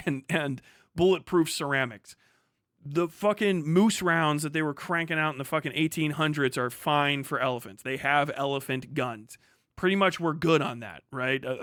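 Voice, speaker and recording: A man talks like a livestream host mid-rant, with a bright, forceful voice pitched a little high. He rambles casually, swears freely and explains things with emphasis. The sound is dry and close. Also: dry recording.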